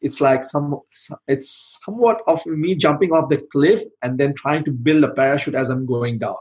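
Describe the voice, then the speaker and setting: A man's voice: medium pitch, moderate pace, darkish tone, rough recording. A middle-aged man from Bangladesh answering interview questions in a loose, rambling way, full of "you know" and restarts. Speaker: podcast guest, IT manager and founder.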